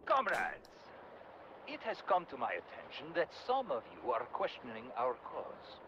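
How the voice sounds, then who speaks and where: An actor playing a ruthless, coldly persuasive Soviet general in a video game, speaking in a deliberate, grandiose and menacing manner. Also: male voice, medium pitch, wildly swooping pitch, noisy background. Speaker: military commander villain. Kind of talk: video game audio log acting. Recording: muffled game audio with loud background ambience.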